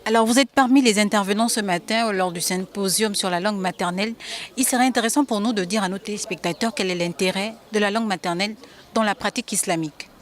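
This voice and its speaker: A woman interviewing for television, asking formal questions in a brisk, polite way. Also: mid-range voice.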